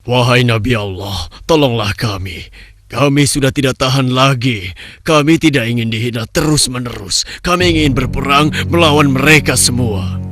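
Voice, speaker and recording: A man's voice: medium pitch, normal speed, fairly bright tone, close to an everyday voice. A man voicing an aggrieved, earnest nobleman who speaks insistently in a religious cartoon. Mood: pleading. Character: aggrieved male nobleman pleading with his prophet. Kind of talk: cartoon religious story dialogue.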